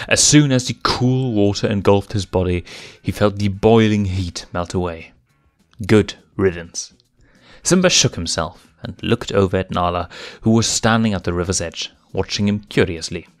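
A man narrating a fan story in a steady, measured reading voice. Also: low-pitched, no echo. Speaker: fanfiction reader.

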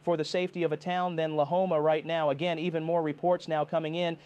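A man's voice: a little high, flat delivery, fast talker, a little mellow, clear, resonant tone, close to an everyday voice. A man playing a TV meteorologist in an analog horror drama, giving a live severe weather warning in a grave, insistent manner, addressing viewers directly. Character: urgent male TV meteorologist warning of deadly tornado. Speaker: TV weather forecaster.

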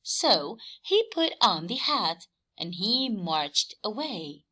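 A woman teacher reading aloud for young children, expressive and emphatic, often questioning. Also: mid-range voice, slow. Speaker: teacher and story reader.

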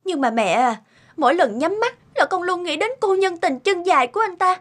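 A woman's voice: very high voice, lively intonation, a little fast, a bit bright. A woman playing a proud, hurt young mother in an emotional cartoon melodrama. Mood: hurt, resentful.

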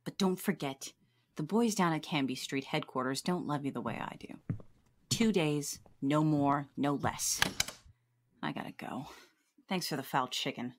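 A woman playing a tough, suspicious police detective with a gruff, sardonic manner in an audio drama. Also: low voice, a little slow, a little hiss or hum. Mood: grudging.

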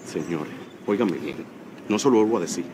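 A man's voice: deep voice, lively intonation, brisk, noisy background. An actor playing an anxious, henpecked man, fretful and pleading in a Dominican accent. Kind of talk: comedy film acting. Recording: music and street sound behind.

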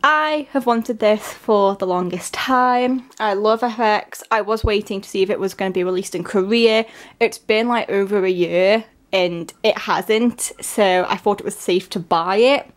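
A woman from England with a stuffy, congested voice from a cold, chatting excitedly through an unboxing with lots of fillers. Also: medium pitch, measured pace. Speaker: vlogger. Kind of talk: casual unboxing narration. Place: at home, at a table.

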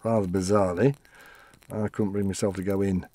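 Low-voiced elderly widower, wistfully reminiscing. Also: male voice, level tone, mellow. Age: older. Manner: conversational, halting, reflective storytelling. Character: elderly widower reminiscing wistfully about his late wife. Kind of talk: audio play monologue.

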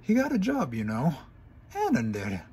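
A man's voice: on the high side, wildly swooping pitch, normal speed, darkish tone, husky, pitched above the performer's own voice, exaggerated voice. A man voicing an earnest, scolding but caring young female pony scholar.

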